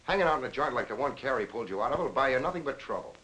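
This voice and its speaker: An actor playing a plain-spoken, wry police lieutenant with quiet authority in a 1940s crime film. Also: male voice, mid-range voice, lively intonation, racing, breathy edge, rough recording. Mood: steady, wry. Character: steady, dry, fatherly police lieutenant. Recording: hissy 1940s film soundtrack.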